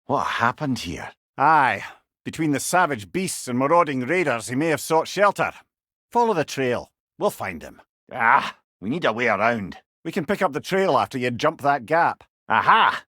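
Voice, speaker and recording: A man playing a wise, witty older companion in a video game, with a Scottish accent and a wry, avuncular, expressive manner. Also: medium pitch, normal speed, dry recording, clean studio sound. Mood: alert, urging. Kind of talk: video game character dialogue.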